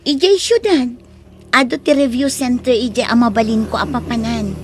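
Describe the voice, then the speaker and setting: A woman's voice: fairly high pitch, moderate pace, faint music behind, close to an everyday voice. A woman with a Filipino accent playing an earnest, respectful young daughter in an audio drama. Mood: respectful, hopeful. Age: young adult. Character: earnest, grateful young daughter studying for teacher exam. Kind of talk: Ilocano audio drama acting. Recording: faint background noise.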